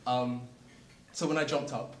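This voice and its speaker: A man's voice: mid-range voice, fairly even intonation, measured pace, reverberant, slight background noise. A young man telling a story on a panel game, hesitant with many ums. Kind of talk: casual anecdote.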